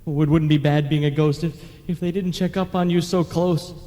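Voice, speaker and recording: A man acts a good-natured, anxious ghost with a plaintive, homespun manner. His voice sits a little high. The vintage radio-play sound carries a long reverb. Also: steady tone, fairly quick, dark tone, lightly acted. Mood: worried, plaintive. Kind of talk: old-time radio comedy acting.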